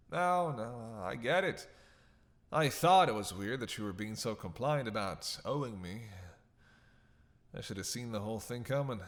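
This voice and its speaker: A man's voice: medium pitch, lightly acted. A man playing a captive vampire in a dramatic roleplay, witty, flirtatious and menacing, with a sarcastic, teasing drawl. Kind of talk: dramatic roleplay monologue to the listener.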